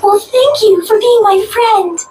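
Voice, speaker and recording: A sweet, gushing princess. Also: female voice, extremely high pitch, unhurried, darkish tone, a little hiss or hum. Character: sweet gracious princess, young woman. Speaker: theme park costumed character.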